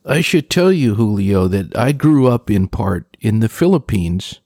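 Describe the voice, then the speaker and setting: Animated male interviewer, close dry sound. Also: lowish voice, measured pace, dry recording. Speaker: interviewer, show host. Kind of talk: conversational interview questions.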